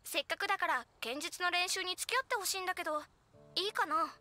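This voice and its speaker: Eager, high-voiced young knight trainee girl. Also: fast, sharp tone. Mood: eager, flustered. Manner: earnest, youthful, polite. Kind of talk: anime game voice acting.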